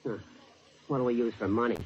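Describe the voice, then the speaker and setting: A man's voice: medium pitch, steady tone, fast talker, natural voice. An actor playing a cocky, bossy teenage boy crook with slangy tough-kid talk, in 1950s radio drama style. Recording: muffled, noisy old radio broadcast.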